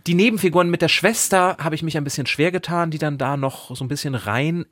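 A man's voice: on the high side, quick pace, a bit bright, no echo. A man talking through books as a podcast host, articulate, engaged and reflective. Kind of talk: conversational book discussion.

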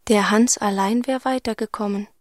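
An actress playing a grave chorus narrator, delivering stark, measured fragments in a literary radio drama. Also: female voice, medium pitch, steady tone, no echo, studio quality, close to an everyday voice.

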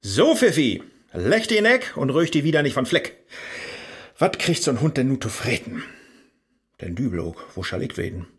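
An actor playing a flustered scholar fumbling with a laptop, speaking with a Low German accent and a husky voice. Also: male voice, big pitch swings, moderate pace.

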